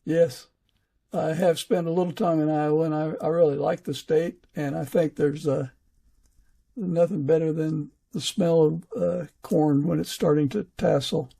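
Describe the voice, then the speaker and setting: A man's voice: a little high, little pitch movement, measured pace, creaky, dark tone, slightly rough. A man hosting a podcast, introducing and interviewing a guest. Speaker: podcast host. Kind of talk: interview, warm-up introduction and questions.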